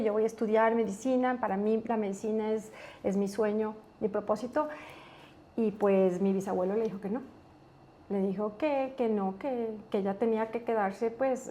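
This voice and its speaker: Guest: woman with an Ecuadorian accent, relaxed anecdotal storyteller, mid-range voice. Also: medium pitch, slight background noise. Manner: relaxed, anecdotal, thinks aloud. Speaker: guest, company executive. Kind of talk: casual interview storytelling.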